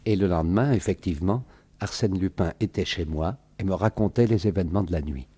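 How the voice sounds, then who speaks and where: An actor playing a loyal friend who narrates a radio mystery in measured, literary phrases. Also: male voice, sits low, mellow, slightly rough. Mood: reflective. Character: Lupin's loyal male friend, recounting the adventure. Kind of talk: scripted radio drama narration.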